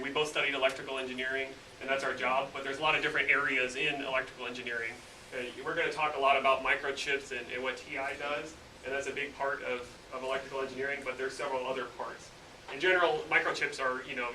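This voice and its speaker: A man's voice: mid-range voice, fast talker. A man, an engineer, casually explaining his work to students in a classroom, with many filler words. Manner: relaxed, lots of "you know" fillers. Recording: roomy distant mic with noise.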